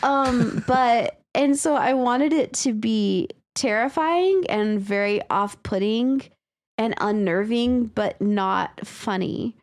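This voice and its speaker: A woman's voice: a little high, takes it slowly, dry recording. A woman co-hosting a podcast, chatting casually and candidly about writing, with some swearing. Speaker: podcast co-host and writer. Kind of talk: casual story discussion.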